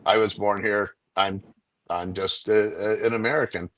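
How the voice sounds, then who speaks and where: A man's voice: on the low side, fairly even intonation, moderate pace, fairly bright tone, dry recording, poor audio. A man in a casual panel chat, telling stories and acting out quotes. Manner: storytelling, acts out quotes.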